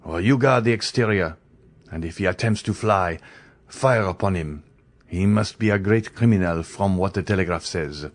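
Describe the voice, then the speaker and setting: A man voicing a male officer who gives brisk orders. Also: deep voice, dry recording, lightly acted. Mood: stern.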